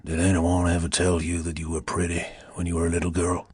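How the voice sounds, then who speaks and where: A man's voice: low-pitched, moderate pace, a little mellow, husky, no room sound, studio quality, voice pushed down. A man voicing a sly, menacing American seducer with a languid, mocking Southern drawl and a velvety, whispery purr. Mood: silky taunting. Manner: lazy drawl, mocking and insinuating.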